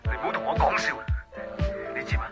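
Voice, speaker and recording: A woman's voice: quick pace, over loud music, close to an everyday voice. An actress playing a distraught, pleading young woman in hiding, in a Cantonese radio drama with a Hong Kong accent. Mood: bitter, distressed.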